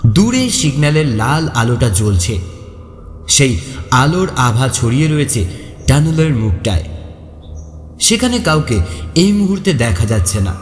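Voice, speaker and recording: Animated male storyteller in long reverb. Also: mid-range voice, unhurried, long pauses. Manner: expressive, suspenseful. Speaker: narrator. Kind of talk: dramatic first-person horror story narration. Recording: echoey long reverb, faint music behind. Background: faint music.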